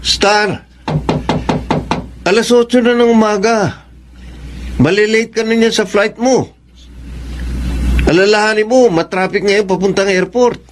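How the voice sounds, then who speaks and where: A high-pitched, jealous Filipino husband. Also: male voice, steady tone, a little slow, long pauses, a little hiss or hum. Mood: urging, puzzled. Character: jealous, insecure husband, possessive and wounded. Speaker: radio drama actor. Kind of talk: melodramatic Filipino radio drama acting.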